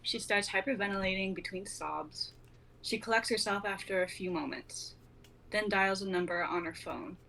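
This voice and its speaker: A woman's voice: medium pitch, moderate pace, vocal fry, pushed and bright. A young woman giving scripted narration as the reader of stage directions, on noisy livestream audio. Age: young adult.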